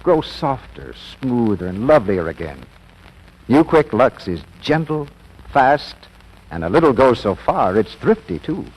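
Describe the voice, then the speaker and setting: A man working as an announcer, delivering a 1940s radio introduction and sales pitch. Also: very animated, a little slow, a little mellow, breathy edge, poor audio. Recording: old radio recording with faint noise.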